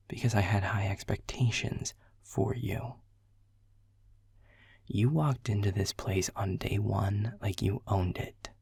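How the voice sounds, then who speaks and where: A man voicing a gravelly, gruff middle-manager boss. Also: fairly low pitch, darkish tone, no room sound, clean studio sound, heavily acted. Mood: sardonic. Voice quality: gravelly, husky. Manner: blunt, sarcastic, swears a lot. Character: world-weary male middle manager, gruff and prickly. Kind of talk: second-person audio roleplay.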